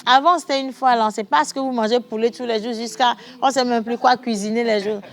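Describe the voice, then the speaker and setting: A woman's voice: on the high side, fast, soft background music. A woman hosting a studio talk show in Togolese-accented French, chatting animatedly and reading viewer messages aloud. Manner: animated, laughing, shifts from chatty to soft.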